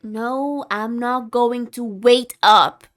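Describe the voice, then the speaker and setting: A woman's voice: fairly high pitch, fairly even intonation, takes it slowly, fairly bright tone, a little hiss or hum. A woman playing a haughty, secretly caring tsundere vampire in a scripted ASMR roleplay, condescending and easily exasperated. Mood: irritated, condescending. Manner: condescending, exasperated, flustered scolding with sighs.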